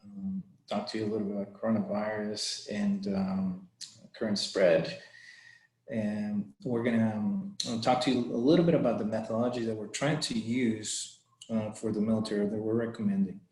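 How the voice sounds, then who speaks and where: A man presents over a video call in a friendly, conversational way. His voice sits a little low, and he often restarts his phrases. The sound carries a noticeable room echo. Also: steady tone, normal speed, a little mellow. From Costa Rica. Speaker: guest presenter. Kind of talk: informal webinar talk. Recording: echoey room.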